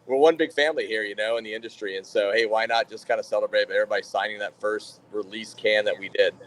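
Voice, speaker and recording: A man's voice: fairly low pitch, fairly even intonation, quick pace, a bit bright, slight background noise, rough recording. A man from Florida, a brewery manager, telling his story in a casual podcast interview, rambling with lots of fillers and restarts.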